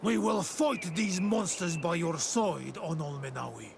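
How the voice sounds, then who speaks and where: High, creaky island warrior. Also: male voice, unhurried, music in the background. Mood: resolute. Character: proud, loyal native island warrior, grateful ally. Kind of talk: video game cutscene acting.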